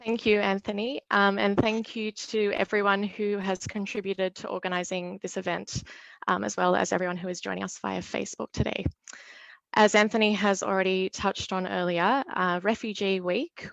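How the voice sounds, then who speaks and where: A woman's voice: mid-range voice, vocal fry, dry recording. A woman hosting an online event, reading a formal introduction with some "um" and "uh" fillers. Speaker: event host, solicitor.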